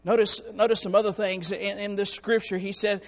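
A hoarse man on church staff preaching a sermon to his congregation, in a folksy way that calls out to them. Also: high voice, flat delivery, brisk, big-room sound. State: hoarse, has lost part of his voice. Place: church sanctuary.

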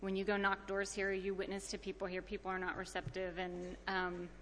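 A woman's voice: mid-range voice, completely flat delivery, fairly quick, fairly bright tone. A woman giving an informal church testimony in an earnest, plain-spoken way. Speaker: church mission trip member giving a testimony. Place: large indoor church hall. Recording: echoey hall with background noise.

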